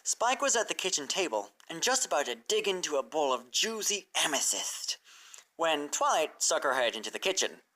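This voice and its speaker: Story narrator, bright tone, very varied intonation, expressive read-aloud fiction. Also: mid-range voice, normal speed.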